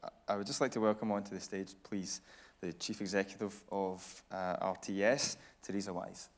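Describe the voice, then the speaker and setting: Guy interviewing on stage, voice a bit low, measured and probing. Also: steady tone. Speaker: interviewer, TV reporter. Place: radio theatre stage.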